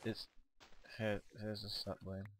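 A man with a hoarse, worn voice, talking a little lower than most. He chats casually during co-op gameplay, trailing off and repeating words. Faint hiss sits under the recording. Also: monotone, takes it slowly. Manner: hesitant, stumbling over words. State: hoarse, losing his voice. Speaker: co-op game player.

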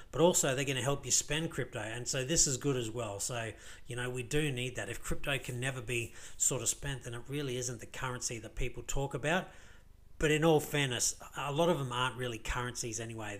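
Croaky tired Australian man vlogging. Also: medium pitch, fairly bright tone. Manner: rambling, filler-heavy, many restarts. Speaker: crypto vlogger. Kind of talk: casual screen-share commentary.

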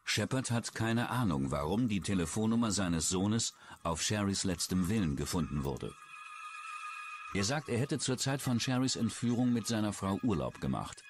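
A man's voice: fairly low pitch, animated, moderate pace, slight background noise. A man reading scripted true-crime documentary narration.

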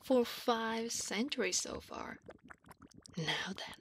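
A woman voicing an affectionate, teasing, clingy slime wife in a soft, half-whispered ASMR roleplay. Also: fairly high pitch, expressive, slow pace. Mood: tender, teasing. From Italy. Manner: soft, breathy half-whisper, intimate, coaxing.

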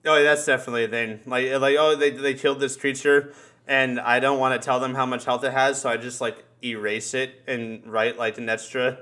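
A man's voice: mid-range voice, level tone, fairly quick, fairly bright tone. A young man with a mid-range voice talks in loose, casual chat. He jokes around and swears easily, recorded indoors on a group call. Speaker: podcast host.

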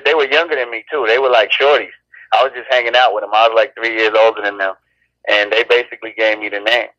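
Laid-back rapper on a dull line. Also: male voice, mid-range voice, a bit bright, no room sound. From born in Chicago, raised in Los Angeles. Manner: laid-back, rambling with many restarts. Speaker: rapper, interview guest. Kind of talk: casual interview talk.

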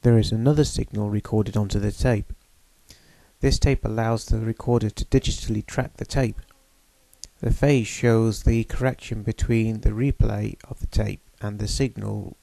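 A man giving a measured, technical demonstration of a studio machine. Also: fairly low pitch, gravelly, warm tone, dry recording, rough recording. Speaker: equipment demonstrator. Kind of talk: explanatory voice-over demonstration.

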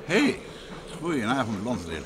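An actor playing a calm, attentive middle-aged husband and gentleman detective in an old Dutch radio play. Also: moderate pace. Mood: polite. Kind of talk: mid-20th-century Dutch radio play acting. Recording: old radio recording, clear train rumble noise.

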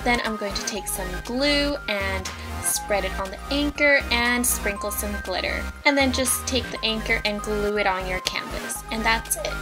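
A woman's voice: on the high side, normal speed, few pauses. Bubbly young woman talking over music. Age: young adult. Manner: bubbly, upbeat, step-by-step instructions. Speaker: DIY vlogger. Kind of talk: casual tutorial voice-over. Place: indoors in front of a brick wall. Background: background music.

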